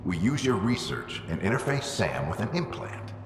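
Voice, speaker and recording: A man's voice: lowish voice, very animated, normal speed. A man playing a stubborn, driven ex-soldier father in a video game, speaking tersely. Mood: stubborn, insistent. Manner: terse, insistent. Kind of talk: scripted video game drama. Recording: roomy hall echo, faint noise.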